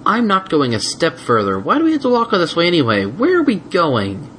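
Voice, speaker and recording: A man's voice: a little high, wildly swooping pitch, fairly quick, a bit bright, voice pushed up. A sulky little dragon boy , acted out by a man reading a story, grumbles and protests in a whiny raised voice. He sounds put-upon and indignant, with faint noise on the recording. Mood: whining complaint.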